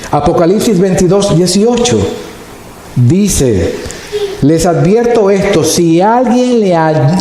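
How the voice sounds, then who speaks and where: A male pastor preaching a sermon slowly and deliberately, stretching words for emphasis. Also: fairly high pitch, mellow. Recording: very echoey hall, faint noise.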